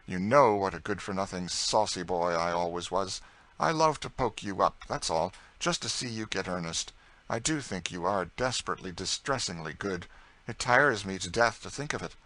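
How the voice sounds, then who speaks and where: A man reading a teasing, witty gentleman. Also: sits low, normal speed. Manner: bantering, ironic, then impassioned. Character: lazy, witty, teasing gentleman, young adult. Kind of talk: audiobook character voice.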